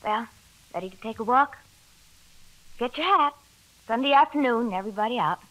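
An American actress playing a sweet, affectionate small-town wife in a 1940s radio drama. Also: female voice, a little high, animated, normal speed, a bit bright. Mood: cheerful. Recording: crackly old radio recording, faint music.

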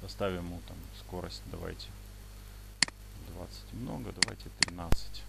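A man giving an unscripted software tutorial, explaining step by step in a halting way over a screen recording. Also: deep voice. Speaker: instructor demonstrating paragliding flight software. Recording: clearly noisy home screencast with clicks.

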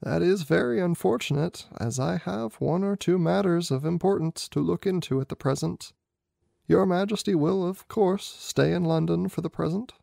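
A man voicing a keen, unflappable gentleman detective in a storybook reading, with brisk, inquisitive, clipped questions. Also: sits high, vocal fry, no echo, clean studio sound, pitched above the performer's own voice, exaggerated voice. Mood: brisk, courteous. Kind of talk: amateur audiobook character reading.

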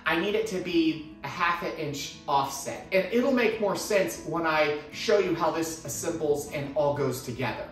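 A man's voice: on the high side, moderate pace, hardly any pauses, faint music behind. A man in his thirties giving casual step-by-step instruction as a tutorial host, recorded indoors in a workshop. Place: indoor woodworking shop. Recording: roomy echoing workshop sound.

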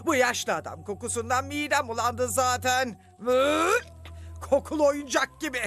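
A woman's voice: sits high, normal speed, fairly bright tone, soft background music. A woman playing a haughty, scheming villainess in a dubbed cartoon, imperious and scornful in manner. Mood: scornful, impatient. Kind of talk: animated series dub acting.

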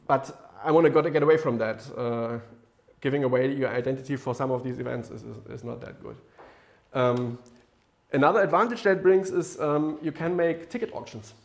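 A man's voice: mid-range voice, gravelly. A man in his thirties presents a technical idea to a meetup audience in a lecture room. He talks in a mid-range voice, stopping often on "um" and "uh" and starting his phrases over. He is seated at a laptop in front of a projector screen. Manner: hesitant, many fillers and restarts. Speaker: meetup speaker.

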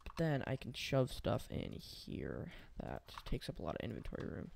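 Gravelly young man narrating gameplay. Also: mid-range voice, wildly swooping pitch, moderate pace, a little mellow. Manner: rambling, thinks aloud while building. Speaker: gaming channel host. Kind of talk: casual gameplay commentary.

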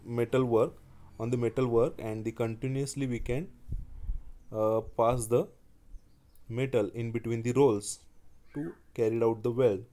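A man giving a slide-based technical lecture, speaking haltingly with frequent restarts and fillers. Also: medium pitch, dark tone. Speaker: engineering lecturer.

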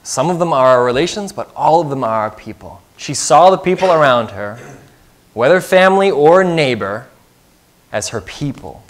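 A man's voice: fairly high pitch, animated, normal speed. A man in his thirties preaching a sermon as pastor, earnest and putting questions to the congregation, recorded in a church sanctuary.

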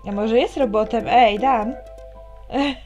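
Female game narrator, highish voice, teasing commentary, clear music behind. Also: slow, a little mellow, highs cut off. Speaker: let's player reading a visual novel aloud. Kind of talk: expressive reading aloud with commentary.

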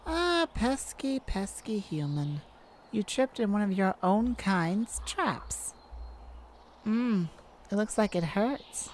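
A woman's voice: mid-range voice, lively intonation, slow, a little mellow, slight background noise. A woman voicing a wicked, taunting forest nymph in a theatrical ASMR roleplay, teasing and menacing, drawing out her words. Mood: sly menace.

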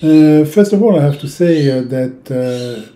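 A man in his sixties from Europe, a native French speaker with a French accent, giving an informal, halting instructional talk with long hesitations. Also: a little high, expressive, warm tone. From francophone Europe. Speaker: tarot teacher. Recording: roomy, echoing indoor sound.

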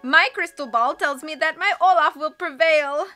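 A woman narrator playing a wheedling storybook fortune-teller with a thick foreign accent. Her grammar is broken and her voice is high and bright, over light music. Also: normal speed. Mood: fawning. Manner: broken syntax, peppers speech with "please".

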